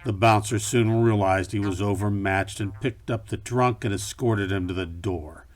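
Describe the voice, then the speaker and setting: A middle-aged actor playing a hard-boiled, world-weary private detective, narrating in a deadpan, measured radio play style. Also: male voice, lowish voice, lightly acted. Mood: brooding narration. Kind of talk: campy film noir radio play narration. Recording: music behind the voice.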